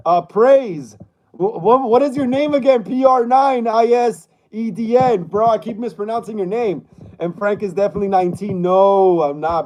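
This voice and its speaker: Man, 30s, high voice, livestream host, laid-back, stammering, repeats himself. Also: darkish tone, poor audio. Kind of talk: casual livestream chat reading viewer comments.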